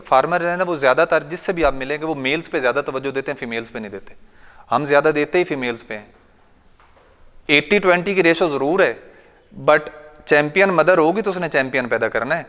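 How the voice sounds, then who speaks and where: Punjabi-accented male farmer-trainer in his thirties, chatty and anecdotal, clear, resonant voice, long hall reverb. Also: a little high, fairly bright tone. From Pakistan. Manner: conversational, anecdotal, recites couplets and quotes elders. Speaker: goat farmer giving a workshop talk. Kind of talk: informal training talk. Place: large bright training hall with a seated audience.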